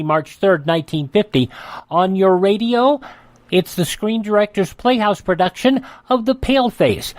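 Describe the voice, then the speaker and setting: A man's voice: sits high, a little hiss or hum. A man with an American accent reading scripted intros and old news headlines as a radio host.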